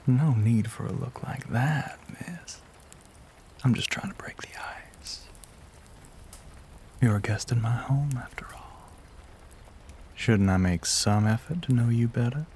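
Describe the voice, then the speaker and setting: A man playing a courtly, softly menacing Southern vampire in an intimate audio roleplay, speaking softly and breathily, often near a whisper, with a Southern US accent. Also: medium pitch, lightly acted. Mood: polite curiosity.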